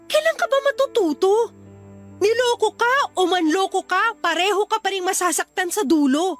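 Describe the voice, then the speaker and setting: A woman's voice: very high voice, lively intonation, normal speed. A woman playing a caring but exasperated friend in a Filipino radio drama, earnestly admonishing with a Filipino accent. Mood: exasperated, scolding. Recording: dramatic music behind the voice.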